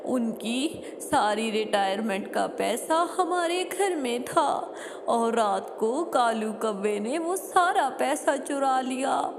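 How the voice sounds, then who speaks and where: A woman's voice: on the high side, expressive, slow. A woman voicing a distraught female parrot in a cartoon story, lamenting in a wailing manner. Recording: noisy, long hall-like reverb.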